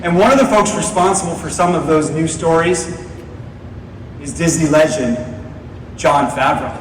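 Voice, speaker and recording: A man's voice: on the high side, normal speed. A man giving an upbeat, emphatic keynote presentation on a stage. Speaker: presenter on stage at a company event. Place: large indoor auditorium stage, recorded from audience. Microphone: distant phone in audience picking up PA. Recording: echoey hall from far off, loud crowd, noisy.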